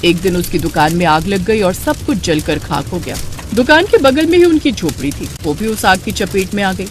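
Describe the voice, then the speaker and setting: A woman narrating a scripted moral story as an expressive storyteller. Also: medium pitch, normal speed, a little hiss or hum.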